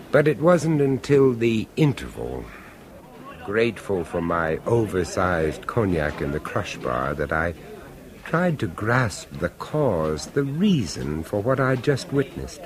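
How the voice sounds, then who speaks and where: An actor narrating in the first person as a brooding man who recalls a ruined violin concert, delivering his lines in a measured, grave and dramatic way for a 1970s radio drama. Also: lowish voice, slight background noise.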